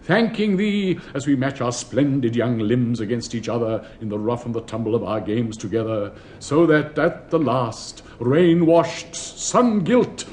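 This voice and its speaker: An actor in a radio play as a pompous, sentimental older public-school headmaster with an upper-class English accent, declaiming in fussy, plummy tones. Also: male voice, mid-range voice, animated, a little slow, few pauses, darkish tone, a little hiss or hum, close to an everyday voice. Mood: pompously pious. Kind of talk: theatrical British radio play acting.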